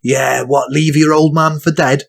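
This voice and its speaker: A man playing brash, foul-mouthed working-class lads in a crime audio drama, with a husky, blunt delivery. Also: fairly high pitch, little pitch movement, unhurried. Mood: seething. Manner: blunt, sweary, slangy.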